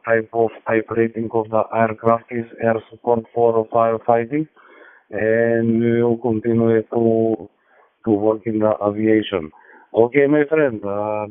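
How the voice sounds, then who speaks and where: Macedonian man on narrowband radio, even-toned. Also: mid-range voice, smooth, dry recording. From North Macedonia. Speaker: amateur radio operator. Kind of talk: ham radio contact, friendly exchange. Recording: thin narrowband digital radio transmission.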